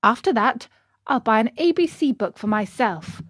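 Woman voicing an eager, high-pitched little puppet boy. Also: lively intonation, normal speed, no room sound, clean studio sound. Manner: eager, emphatic, exclamatory. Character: Pinocchio, naive, excitable young boy marionette, earnest and loving.

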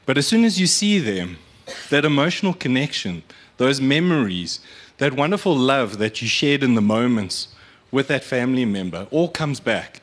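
A man's voice: medium pitch, sing-song, moderate pace. A man giving an informal, earnest, explanatory presentation to an audience. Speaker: media agency speaker. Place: live event venue.

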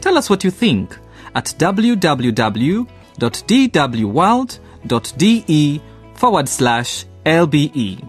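A wry male presenter closing out an educational radio drama and inviting listener comments. His voice is fairly high and husky, with a lively rise and fall. Soft music plays faintly behind him. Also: slow. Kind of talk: scripted host outro.

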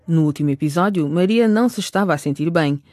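High-pitched male radio drama narrator. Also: fast talker, darkish tone, dry recording.

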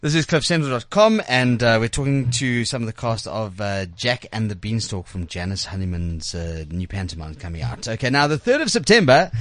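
Animated South African man hosting radio. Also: mid-range voice, no room sound. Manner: effusive, repeats words excitedly. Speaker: radio show host. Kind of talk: chatty live talk radio interview. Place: talk radio studio. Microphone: studio microphone.

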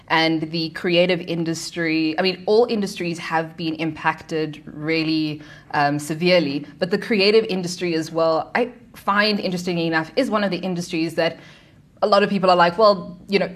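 A woman hosting an interview, asking curious questions with drawn-out fillers. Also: lowish voice. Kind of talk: podcast interview.

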